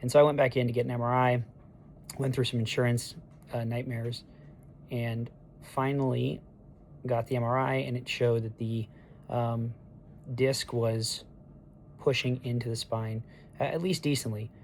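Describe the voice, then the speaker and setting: Hoarse man, 30s, gravelly voice, flat delivery, halting with ums, video diary. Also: mid-range voice, a little hiss or hum. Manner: halting, with many ums and restarts. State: hoarse after neck surgery. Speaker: patient sharing a recovery diary. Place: hospital room on discharge day.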